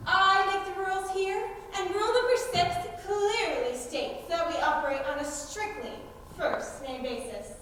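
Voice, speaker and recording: Young woman playing a brash, high-voiced outlaw leader, echoey hall. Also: moderate pace, hardly any pauses, a bit bright. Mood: bossy, indignant. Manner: theatrical, projected, mocking. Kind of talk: high school stage comedy acting. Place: school auditorium stage. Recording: echoey hall, distant mic, noisy.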